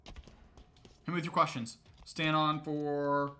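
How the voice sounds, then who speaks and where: A man's voice: a little high, takes it slowly, clear, resonant tone. Casual male streamer answering questions. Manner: relaxed, conversational, explains at length with many "um"s. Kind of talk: casual livestream Q&A.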